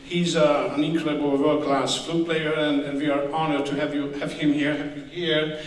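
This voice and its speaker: A man hosting a concert as bandleader, speaking warmly and stumbling over his words. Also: a little high, flat delivery, normal speed. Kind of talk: stage hosting. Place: concert hall. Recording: roomy hall from far off.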